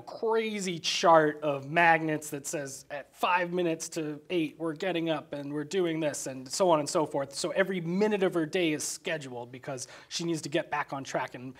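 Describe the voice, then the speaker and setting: Man, slightly high voice, casual film chat, rambling explanations, guest. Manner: rambling, loosely hedged explanations. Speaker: podcast guest, graphic designer.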